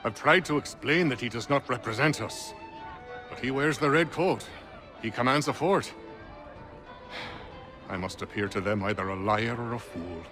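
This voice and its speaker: An actor playing an earnest, dutiful colonial diplomat in a video game, speaking formally and articulately with frustration. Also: male voice, medium pitch, moderate pace, pauses often, over music, natural voice. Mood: frustrated, weary. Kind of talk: video game cutscene acting.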